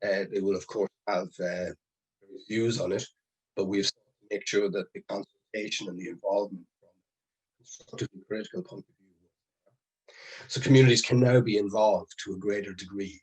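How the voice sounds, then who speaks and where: A man's voice: lowish voice, steady tone, darkish tone, slightly rough, low-quality recording. Man presenting on a video call. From Ireland. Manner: earnest, halting with restarts and fillers. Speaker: conference presenter. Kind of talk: online conference presentation. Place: home or office on a video call. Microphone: video call mic.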